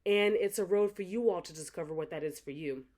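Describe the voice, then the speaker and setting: A woman's voice: lowish voice, brisk, a little mellow. A young adult woman, an author, speaking earnestly and reflectively to her supporters in a motivational talk, often repeating phrases for emphasis. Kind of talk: motivational direct-to-camera talk.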